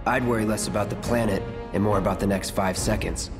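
A cool young sellsword from a video game, played by a man. His deepish voice is terse and deadpan, with little warmth. Music plays behind him in a reverberant space. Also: fast, close to an everyday voice. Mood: dismissive. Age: young adult. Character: aloof young male mercenary swordsman, cool and curt. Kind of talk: video game cutscene acting.

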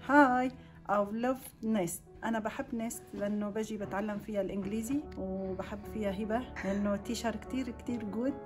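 A woman with a Levantine Arabic accent gives a testimonial in a mid-pitched voice. She speaks extremely fast, with music clearly playing behind her. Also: steady tone. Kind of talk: on-camera testimonial. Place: plain indoor room.

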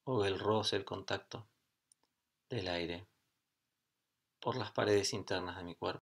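A middle-aged man leads a guided meditation in a fairly low voice. He speaks calmly, in short phrases, and leaves long pauses between them. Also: vocal fry, slightly rough. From Argentina. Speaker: yoga and meditation teacher.

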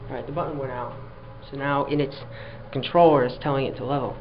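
A man's voice: mid-range voice, fairly even intonation, quick pace. A man filming an elevator ride, giving dry, exasperated running commentary into a handheld camera inside a small elevator car. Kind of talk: casual handheld narration. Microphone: handheld camera mic. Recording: dull lo-fi camera audio, faint hum.

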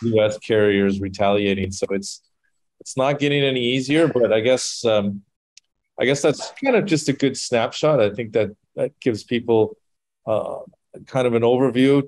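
A man hosting a podcast over a video call, talking in a relaxed, conversational way. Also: mid-range voice, moderate pace, no room sound, low-quality recording. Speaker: podcast host. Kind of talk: casual chat.

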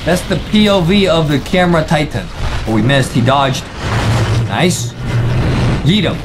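A young man in his twenties doing excited, exclamatory reaction commentary as a video host, in short hype-filled bursts. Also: on the high side, a little slow, background noise. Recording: music playing under voice. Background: music and video sound effects.

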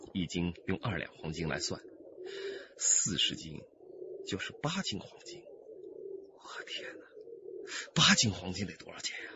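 Kidnapper: deep, animated, greedy schemer muttering to himself, radio play, pigeons cooing behind. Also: male voice, dull audio, background noise. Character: scheming greedy male kidnapper gloating over ransom.

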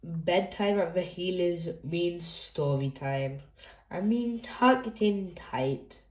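A woman's voice: lowish voice, slow, echoey room. A woman hosting a children's read-aloud, reading a picture book expressively for young listeners.

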